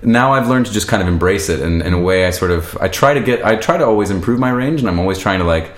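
Young man, very deep voice, loud and booming, laughs easily, echoey room. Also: animated, quick pace. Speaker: singer guest. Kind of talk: casual interview chat.

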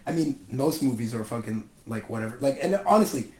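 A young man chatting casually about movies, swearing often and ranting with animated energy. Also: medium pitch, fairly quick. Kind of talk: casual chat. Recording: echoey room with faint ambient noise.

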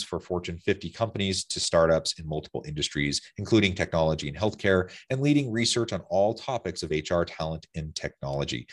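A man hosting a podcast interview, upbeat and formal as he introduces his guest. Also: low-pitched. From Utah. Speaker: podcast host.

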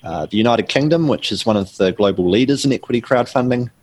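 A middle-aged man with a New Zealand accent, answering interview questions in a measured, explanatory way with frequent ums and restarts. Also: mid-range voice, lively intonation, darkish tone. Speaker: guest author.

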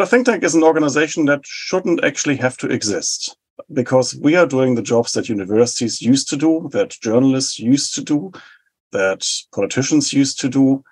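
A man in his forties from West Germany with a German accent, explaining public policy in measured, list-like answers as an interview guest. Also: medium pitch, a little mellow, husky. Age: 40s. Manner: measured, explanatory, rhetorical lists. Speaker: think tank head, guest.

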